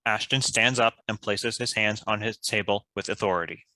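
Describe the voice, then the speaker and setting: Table read narrator: crisp-edged bright voice, scripted delivery of stage directions. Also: mid-range voice, fairly even intonation, normal speed, poor audio.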